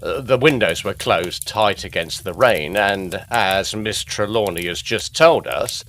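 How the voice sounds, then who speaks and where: A man's voice: on the low side, unhurried, fairly bright tone, slight background noise. An actor playing a courteous, matter-of-fact male Scotland Yard detective in a gothic audio drama.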